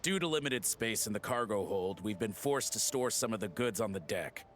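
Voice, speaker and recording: A man's voice: on the low side, expressive, moderate pace, noisy background, close to an everyday voice. A man playing a polite ship crewman in a video game, addressing passengers with formal, apologetic courtesy. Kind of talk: video game character voice acting.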